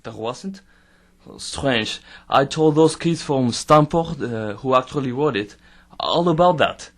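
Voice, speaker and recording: An actor playing a nonchalant French photographer with a French accent and halting, blunt English in an audio drama. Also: male voice, mid-range voice, expressive. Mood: blithely frank. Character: blithe, candid French male photographer.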